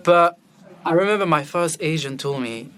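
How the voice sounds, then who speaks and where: A man's voice: a little high, animated, normal speed, fairly bright tone, slight background noise. A man from Belgium whose first language is French, a film director guest talking candidly and with animation about his life, laughing easily. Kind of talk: candid personal conversation.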